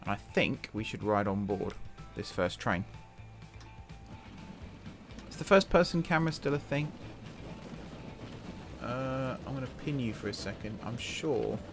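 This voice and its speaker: Croaky man narrating gameplay. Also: mid-range voice, lively intonation, a little slow, long pauses. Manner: chatty, thinking aloud, self-deprecating. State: croaky from talking all day. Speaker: gaming channel host. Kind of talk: casual gameplay commentary. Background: background music.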